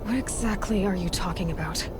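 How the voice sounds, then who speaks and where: A woman playing a determined, sharp-tongued young huntress in a game cutscene, weary and sarcastic. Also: fairly low pitch, a little fast, natural voice. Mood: questioning. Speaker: game protagonist. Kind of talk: video game cutscene acting. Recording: game audio with clear background noise.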